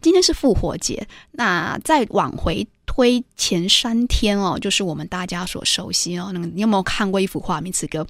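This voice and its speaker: A woman's voice: mid-range voice, animated, normal speed, hardly any pauses, fairly bright tone, no echo, studio quality. A woman hosting a radio talk, explaining in a chatty way and checking in with questions. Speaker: radio host.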